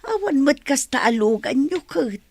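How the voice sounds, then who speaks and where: A woman's voice: high-pitched, expressive, normal speed, a little mellow, no room sound. A woman with an Ilocano accent acting as a thrifty, questioning older village mother in a radio drama. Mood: suspicious.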